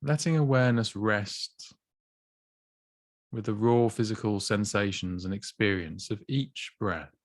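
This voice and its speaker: A man gently guiding a body scan meditation, slow and soothing with long pauses. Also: on the low side, no room sound, studio quality. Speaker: mindfulness teacher.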